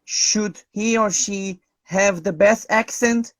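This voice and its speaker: A man with a Turkish accent hosting an online interview, polite and soft-spoken. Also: high voice, steady tone, slow, no room sound. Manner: polite, soft-spoken, frequent laughs and thanks. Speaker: interviewer host. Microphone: video call.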